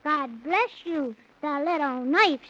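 A woman's voice: high voice, lively intonation, slow pace, very noisy. A woman playing a polite, earnest six-year-old boy in a 1930s radio serial, courteous and hesitant. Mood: careful recitation. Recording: muffled 1930s radio recording.

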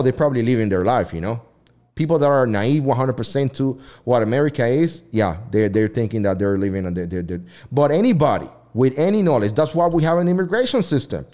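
A man's voice: mid-range voice, mellow. A man hosting a casual debate chat, rambling with frequent restarts and swearing freely.

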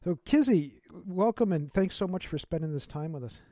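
A man's voice: high-pitched, animated, normal speed, dark tone, no echo, clean studio sound. A middle-aged man hosting an interview, enthusiastic and chatty with many fillers. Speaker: podcast host.

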